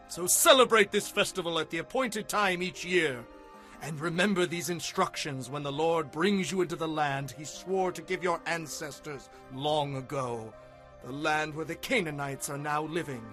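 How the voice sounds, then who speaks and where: Guy playing bible prophet preaching to his people, solemn and emphatic, highish voice, faint music. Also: fairly bright tone. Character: Moses, fervent male prophet solemnly addressing his people. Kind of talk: dramatized Bible reading.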